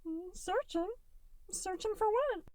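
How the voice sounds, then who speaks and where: A tiny gooey boy with a squeaky, whimpering voice pitched very high, as a woman reads him. He sounds sweet and shy, with a bouncy sing-song lilt. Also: lively intonation, slow pace, a little mellow, distant mic, full character voice. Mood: innocent. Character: small innocent boy made of goo, timid and sweet. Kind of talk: audiobook fan fiction reading.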